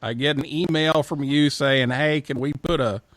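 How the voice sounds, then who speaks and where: A man's voice: a little high, steady tone, moderate pace, fairly bright tone, dry recording. A man hosting a live call-in radio show in a casual, conversational style.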